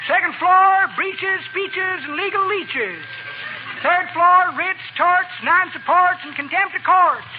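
An actor playing a chipper old elevator operator in sing-song 1940s radio comedy style. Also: male voice, very high voice, takes it slowly. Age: older. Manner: sing-song rhyming calls. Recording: muffled, very noisy old radio recording.

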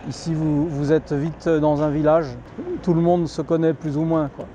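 A man in his thirties, talking through a face mask, calmly explaining his answers in an outdoor street interview. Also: fairly high pitch, normal speed, a little hiss or hum. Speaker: resident interviewed. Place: outdoor town street by a shop. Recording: slightly dull outdoor recording. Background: faint street ambience.

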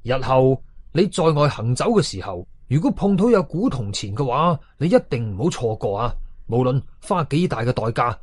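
A stern Taoist exorcist played by a male storyteller in a horror audio story, with a husky voice and Hong Kong Cantonese accent. He is learned and commanding, intoning his words like incantations. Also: mid-range voice, big pitch swings, moderate pace, no echo. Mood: instructive.

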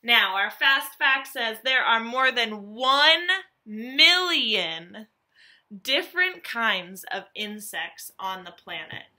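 A woman, reading a nonfiction book aloud to young children as a teacher, animated and carefully enunciated. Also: fairly high pitch, slow pace. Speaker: elementary school teacher.

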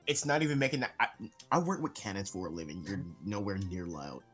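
A man's voice: low voice, big pitch swings, fast, a bit bright, husky. A man in casual voice-chat banter, blunt and teasing, swearing constantly. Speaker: voice chat guest. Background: music.

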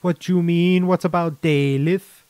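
A brash former soldier in a sci-fi story, acted out by a male reader, teasing and chiding in a folksy way. His voice is pushed high and defensive, with very little room sound. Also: steady tone, a little slow, a little mellow, dry recording, close to an everyday voice. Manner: folksy, teasing, calls listener lad and boyo. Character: brash, defensive male ex-guardsman turned defector, cheerfully chiding. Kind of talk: short story character reading.

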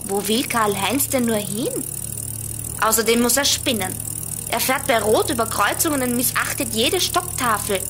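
A woman playing a plucky, inquisitive girl detective in an expressive children's radio play. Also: fairly high pitch, close to an everyday voice. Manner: animated, expressive.

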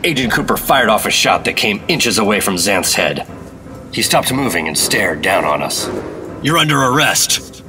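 A man's voice: on the low side, big pitch swings, normal speed, heavy background noise. A man playing a determined, wry 1950s government agent in an old-time radio drama, with clipped, hardboiled storytelling. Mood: tense. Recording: dramatic music behind the voice.

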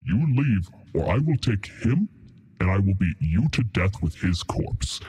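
A man voicing a huge, brutish, menacing troll in a tabletop game, threatening and slow, with long booming reverb. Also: sing-song, mellow, breathy edge, heavily acted.

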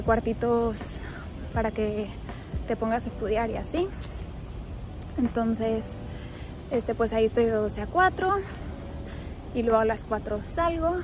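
A woman's voice: fairly high pitch, poor audio. A young woman with a Mexican accent, talking casually to her phone while she walks across campus, upbeat and full of fillers. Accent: Mexican Spanish. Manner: chatty, upbeat, many fillers while walking. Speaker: vlogger. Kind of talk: casual vlog chat. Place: outdoors on a campus while walking, later a home kitchen. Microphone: phone at arm's length.

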